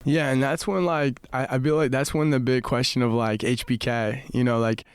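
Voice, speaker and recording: Young guy from morocco, smooth clear voice, dry studio sound, lots of "like" and "uh" as he tells his story. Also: medium pitch, flat delivery, moderate pace, no room sound. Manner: earnest storyteller, many fillers and restarts. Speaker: musician guest. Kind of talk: casual podcast chat. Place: podcast studio.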